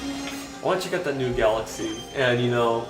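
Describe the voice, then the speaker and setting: A man's voice: medium pitch, distant mic. Dry, hesitant young man in an echoey room. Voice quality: dry monotone voice. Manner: hesitant, halting, full of fillers and restarts. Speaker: vlogger. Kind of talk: rambling chat while playing a video game. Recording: echoey room with game music behind.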